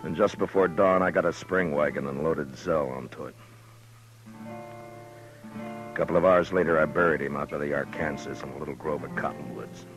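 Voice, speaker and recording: Low voiced old west lawman telling his story, grave n terse, 50s radio show, music behind. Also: low-quality recording. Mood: somber, brooding. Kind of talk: 1950s radio western acting, first-person narration. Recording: vintage 1950s radio recording with music.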